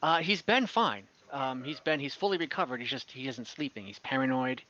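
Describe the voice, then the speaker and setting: A cynical female ex-bandit played by a man in a tabletop roleplaying game. The voice is forward and bright, halting and world-weary, through dull online-call audio. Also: medium pitch, animated, slight background noise. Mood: matter-of-fact.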